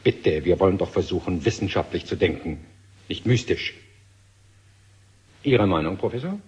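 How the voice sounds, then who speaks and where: Very husky, patronizing official. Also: male voice, deep voice, normal speed, full character voice. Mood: condescending. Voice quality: husky, rough. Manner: patronizing, animated. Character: skeptical, patronizing male government undersecretary. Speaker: actor. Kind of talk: 1970 German radio drama acting. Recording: dull old radio play recording.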